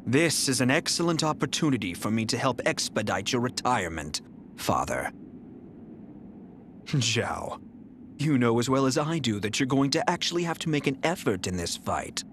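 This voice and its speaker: An actor playing a cool, arrogant young male general in a video game, speaking in a calm, measured, haughty manner. His lines are clean studio game recordings. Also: medium pitch, background noise, close to an everyday voice. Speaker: game character. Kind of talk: game voice clips.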